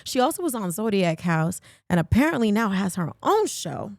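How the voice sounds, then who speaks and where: A woman's voice: mid-range voice, very animated, moderate pace, a little mellow, no echo, clean studio sound. Brash, sassy woman podcast host. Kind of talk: casual gossipy talk.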